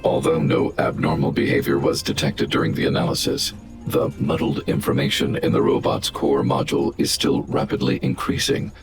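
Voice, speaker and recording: A man's voice: extremely low pitch, fairly even intonation, vocal fry. A man voicing a large, stern, protective robot in a video game, speaking in a formal, coldly logical, analytical way. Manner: formal, analytical, measured, stating probabilities. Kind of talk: video game character dialogue. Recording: game music behind the voice. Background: game music.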